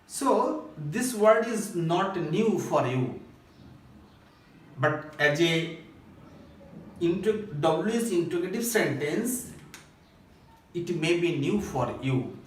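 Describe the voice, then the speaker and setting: A man with a Bangladeshi accent teaching a school English grammar lesson, explaining deliberately and emphatically phrase by phrase. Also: fairly high pitch, expressive. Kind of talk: recorded classroom grammar lesson. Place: echoey indoor room at a board. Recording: very echoey room, distant microphone.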